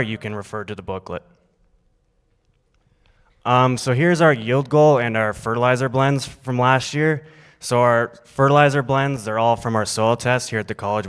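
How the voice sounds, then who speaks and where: Young sask guy explaining slides, smooth resonant voice, echoey hall. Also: medium pitch, fairly bright tone. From Saskatchewan, Canada. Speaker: agriculture student presenter. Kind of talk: class presentation from slides.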